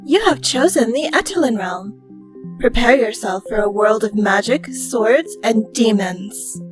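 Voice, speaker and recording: A woman voicing a formal game system that guides new players with measured announcements. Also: fairly high pitch, lively intonation. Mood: formal, solemn. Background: faint music.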